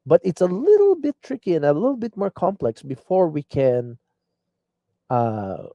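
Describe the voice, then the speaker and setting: A man's voice: high voice, very animated, moderate pace, warm tone, no echo, dull audio. A man hosting a live online show, delivering an earnest, rambling political commentary monologue full of restarts. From Philippines.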